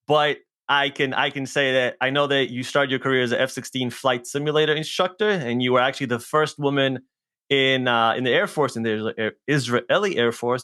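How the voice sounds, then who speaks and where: A man hosting a podcast, asking questions in a relaxed, chatty way and laughing easily. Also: medium pitch, clear, resonant tone, dry recording, clean studio sound. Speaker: podcast host. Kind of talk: casual interview chat.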